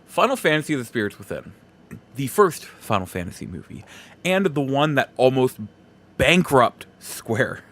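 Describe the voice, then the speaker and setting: A man with a mid-pitched, very lively voice hosts a casual solo podcast. He rambles and halts as he thinks aloud, often repeating a phrase for emphasis while his intonation rises and falls widely. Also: normal speed. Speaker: podcast host.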